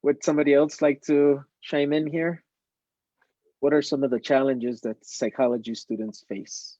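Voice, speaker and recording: A man's voice: mid-range voice, little pitch movement, dark tone, no room sound. Courteous man moderating, muffled dry sound. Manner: polite, formal, with filler pauses. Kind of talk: moderating a webinar. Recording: dull dry lo-fi audio.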